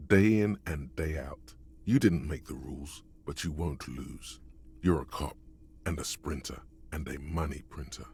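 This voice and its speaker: An actor voicing a game's narrator, an inner voice that addresses the player with wry, goading remarks in a deep, husky tone. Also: male voice, unhurried, a little mellow, slight background noise, exaggerated voice. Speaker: game narrator voice. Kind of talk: scripted game narration.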